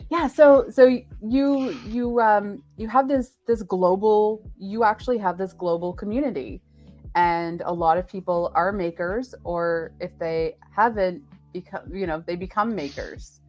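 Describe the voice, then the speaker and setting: A woman hosting a casual live stream interview, with a chatty, jokey and halting way of talking. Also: mid-range voice, darkish tone, faint music behind. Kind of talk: casual unscripted chat.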